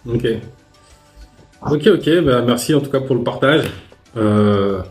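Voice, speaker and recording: A man hosting a live online discussion, speaking hesitantly and reflectively, with many pauses. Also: mid-range voice, a little mellow, echoey room, soft background music.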